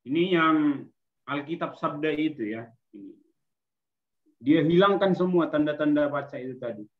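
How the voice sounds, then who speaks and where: A man with an Indonesian accent teaching a language class, explaining step by step and often checking that listeners follow. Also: mid-range voice. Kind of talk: online class lecture with questions. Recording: thin, phone-like online call audio.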